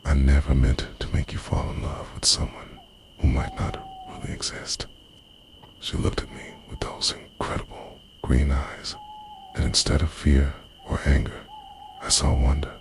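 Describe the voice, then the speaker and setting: A man with an extremely low voice delivers intimate ASMR storytelling, hovering between soft speech and breathy whisper. His pitch moves widely as he confides each line in a dramatic, hushed manner. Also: wildly swooping pitch, a little slow. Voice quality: breathy, often slipping into whisper. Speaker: audio roleplay narrator. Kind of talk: intimate scripted ASMR roleplay narration, half-whispered.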